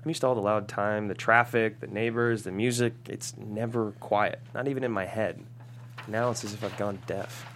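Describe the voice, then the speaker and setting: A man's voice: fairly low pitch, fairly even intonation, normal speed, a bit bright, slight background noise. Man playing a wry, cocky runaway rocker. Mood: reflective. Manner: wry, sardonic. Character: cocky, sarcastic runaway male rock musician. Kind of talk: table read acting.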